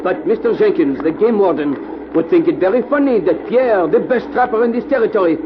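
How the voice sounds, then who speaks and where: An actor playing a proud French-Canadian fur trapper in old radio drama, with an accent and stilted broken English. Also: male voice, high-pitched, lively intonation, brisk. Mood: indignant, defiant. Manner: stilted broken English, speaks of himself by name. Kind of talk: 1940s radio acting. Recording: muffled, noisy old radio recording.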